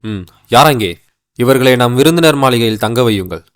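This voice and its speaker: A man's voice: medium pitch, expressive, normal speed, clean tone, no room sound, clean studio sound, faint music behind, natural voice. An actor voicing a trusting old king in a cartoon, speaking in a regal, formal way. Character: trusting elderly male king. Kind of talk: cartoon voice acting.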